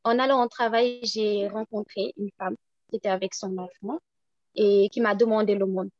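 A woman from Senegal with a Senegalese French accent, speaking as a guest and making an earnest, measured appeal for support. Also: medium pitch, fairly even intonation, no echo, dull audio. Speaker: guest, project founder.

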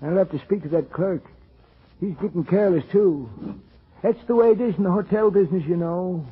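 A husky old hotel keeper, pitched fairly high for a male voice. He is fussy and eccentric, rambling along in folksy rustic speech. It is 1940s radio drama, thin and tinny. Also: brisk. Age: older. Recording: tinny, band-limited 1940s radio recording.

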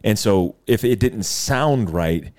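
Man, very deep voice, podcast host, eager rambling chat, dry close mic. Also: expressive, darkish tone, dry recording. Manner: enthusiastic, quick reactions, trailing sentences. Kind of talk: casual interview chat.

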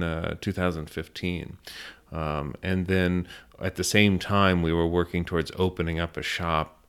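A middle-aged American man with a very low, clean, resonant voice. He talks as a business owner being interviewed, telling stories conversationally and pausing to restart his phrases. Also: fairly bright tone, smooth. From South Florida, US.

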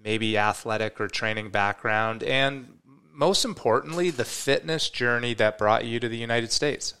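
A man's voice: medium pitch, moderate pace, pushed and bright, clear, resonant tone. A man hosting a podcast, opening the episode with enthusiasm and then asking questions in an upbeat, slightly rambling way. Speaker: podcast host. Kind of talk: podcast intro and interview.

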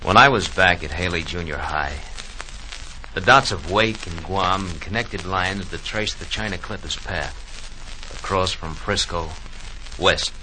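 A deep-voiced, earnest young Marine. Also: male voice, a little slow, slight background noise, exaggerated voice, rough recording. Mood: reminiscing. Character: earnest young Marine corporal recalling his boyhood daydreams. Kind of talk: 1940s radio drama acting. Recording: vintage 1940s radio, loud music behind.

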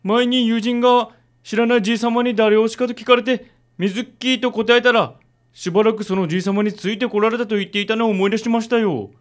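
A nerdy male idol superfan in a radio drama, acted by a man. His voice is strikingly high. He speaks in stilted, quaintly courteous sentences that run long. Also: fairly even intonation, brisk, natural voice. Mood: stiff, obliging. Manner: quaint, stilted, long-winded formal phrasing. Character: nerdy, stiffly polite male idol fan.

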